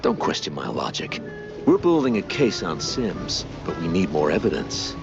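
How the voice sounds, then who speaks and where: A man voicing a gruff, officious mouse guard in a cartoon game, curt and bossy. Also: low voice, big pitch swings, moderate pace. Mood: conspiratorial. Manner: clipped, bossy, secretive. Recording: muffled, guitar music behind.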